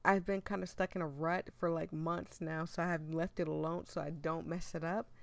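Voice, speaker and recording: Hoarse, gravelly woman streamer. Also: on the low side, moderate pace, no room sound. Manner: chatty, laughing, expressive. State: hoarse, losing her voice. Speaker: streamer playing a story game. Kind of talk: casual game commentary and reading aloud.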